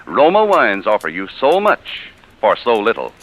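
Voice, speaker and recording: Bright, lively vintage radio pitchman. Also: moderate pace, rough recording. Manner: polished, emphatic pitchman. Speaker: sponsor announcer. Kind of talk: 1940s radio commercial. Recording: 1940s radio broadcast.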